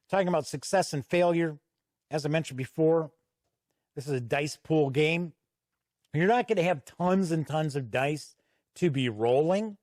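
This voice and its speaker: Middle-aged man, slightly high voice, dry sound. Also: darkish tone, no echo. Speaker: tabletop game reviewer. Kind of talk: casual review commentary, explaining rules.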